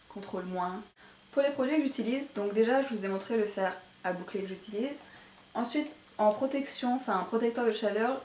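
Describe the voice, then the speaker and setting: A woman talks viewers through a beauty routine in a casual, chatty way. She is slightly self-conscious about how she pronounces things. Her mid-pitched voice sounds in a roomy indoor space with faint noise behind it. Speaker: beauty vlogger presenting a hair tutorial. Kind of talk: casual chatty tutorial to camera. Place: indoor room at home.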